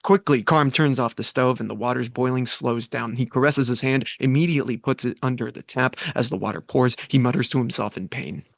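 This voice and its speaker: Mid-pitched man narrating stage directions. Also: fairly quick, creaky, few pauses, no room sound. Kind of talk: scripted narration.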